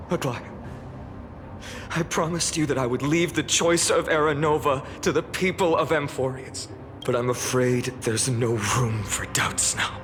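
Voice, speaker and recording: A man's voice: a little high, natural voice. A man voicing an earnest, resolute young hero in a video game, speaking formally and with stern conviction. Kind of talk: dramatic video game acting.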